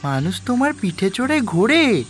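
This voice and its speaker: A woman's voice: mid-range voice, big pitch swings, normal speed, faint music behind, pitched below the performer's own voice, close to an everyday voice. A woman voicing a curious, cocky young male lion cub in a cartoon, with a dramatic, exclaiming delivery. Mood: curious, amazed.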